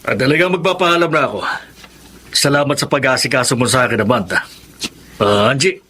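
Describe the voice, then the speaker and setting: A Filipino man acting as a courteous, easygoing older gentleman in a radio drama. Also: mid-range voice, brisk, breathy edge. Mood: amiable.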